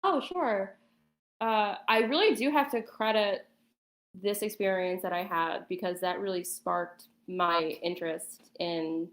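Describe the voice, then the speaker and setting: A woman guest chatting casually in an interview, thinking aloud a little hesitantly, with plenty of "like" along the way. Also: lowish voice. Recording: echoey, roomy sound.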